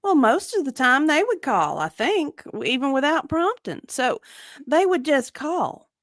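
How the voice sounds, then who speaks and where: A woman's voice: high-pitched, big pitch swings, unhurried, no echo, studio quality. A woman voicing an evasive, matter-of-fact victim advocate giving clipped, hedging answers in a comedic deposition reading.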